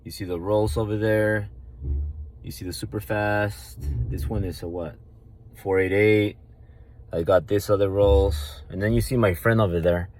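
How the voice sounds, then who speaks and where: A man's voice: on the low side, steady tone, normal speed, darkish tone. A man in his thirties with a Mexican Spanish accent, a native Spanish speaker, talking in a brash, swaggering vlog, swearing and repeating himself. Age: 30s. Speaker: vlogger and entrepreneur. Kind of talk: casual boastful vlog.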